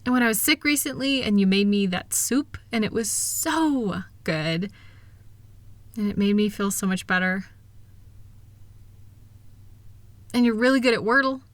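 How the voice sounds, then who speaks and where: A woman playing a loving, reassuring wife in an intimate comfort roleplay, speaking in a gentle, soothing, affectionate way. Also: mid-range voice, lively intonation, moderate pace, dry recording, lightly acted. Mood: playful, fond. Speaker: audio roleplay voice performer.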